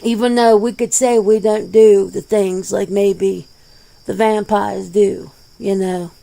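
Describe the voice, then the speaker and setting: Casual werewolf interviewee for ASMR roleplay, female performer: dark, relaxed tone, gravelly edge, plain-spoken and folksy. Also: mid-range voice, takes it slowly, poor audio. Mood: casual, candid. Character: laid-back, plain-spoken werewolf being interviewed, peace-minded.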